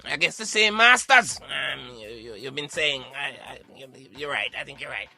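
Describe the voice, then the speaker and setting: A man voicing a questioning radio sidekick, hesitant but insistent, with halting, probing interjections. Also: mid-range voice, expressive, gravelly, sharp tone, soft background music, heavily acted. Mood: conceding.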